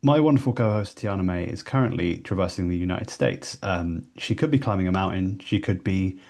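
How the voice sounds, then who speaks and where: A man's voice: low voice, a little fast, darkish tone. A man hosting a podcast, chatting casually with his guest from a small room, with lots of fillers and restarts. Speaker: podcast host.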